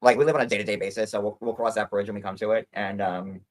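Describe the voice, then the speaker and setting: A man with a somewhat low voice talks casually on a livestream. He rambles and swears casually. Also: fairly even intonation, quick pace.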